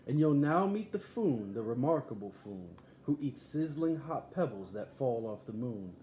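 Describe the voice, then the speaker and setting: A man's voice: medium pitch, big pitch swings, normal speed, warm tone, natural voice. A man voicing an eager, boastful boy showman in a storybook, barking grandly like a ringmaster. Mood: showy, proud. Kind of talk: storybook read-aloud character. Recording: echoey room, faint background noise.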